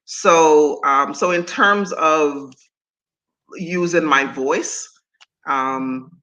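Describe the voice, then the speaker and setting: A middle-aged woman from Antigua with a deep voice, talking as a guest in an interview in an animated, emphatic way. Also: drawn-out words, low-quality recording. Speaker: guest speaker and coach. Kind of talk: conversational interview.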